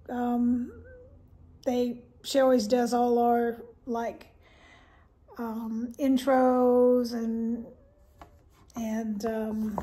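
An older woman casually showing off her shopping haul at home, chatty and rambling with soft laughs and many ums, a little congested. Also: on the high side. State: slightly congested, clearing throat. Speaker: haul vlogger. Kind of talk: casual haul show-and-tell. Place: living room at home, indoors.

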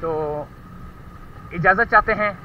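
Man, Karachi Urdu accent, high voice, motorcycle vlog, rambling commentary, distant dull helmet-cam sound, faint traffic. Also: slow pace. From Pakistan. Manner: rambling, searches for words while riding. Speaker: motorcycle vlogger. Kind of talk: casual ride-along commentary. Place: outdoors on a motorcycle, muddy city street. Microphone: helmet camera.

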